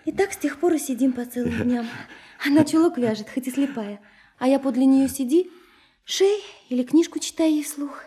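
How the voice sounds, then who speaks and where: An actress in a Russian radio play playing a lively, naive, sheltered young woman who is curious, insistent and teasing. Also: high voice, normal speed, natural voice. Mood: confiding, playful. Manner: girlish, eager, teasing, pressing with questions.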